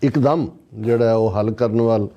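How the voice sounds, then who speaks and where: A man's voice: lowish voice, steady tone, normal speed, dull audio. A man with a Punjabi accent gives conversational political commentary as a talk show commentator, in a sardonic, emphatic manner.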